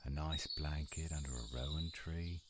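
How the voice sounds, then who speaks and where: A man playing a gruff but romantic male werewolf in an intimate roleplay audio, with a teasing, folksy, laid-back manner. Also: extremely low pitch, normal speed. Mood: flirtatious, relaxed. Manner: teasing, folksy, drawling endearments. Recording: noisy outdoor ambience with birdsong, insects. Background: birdsong and insects in woods.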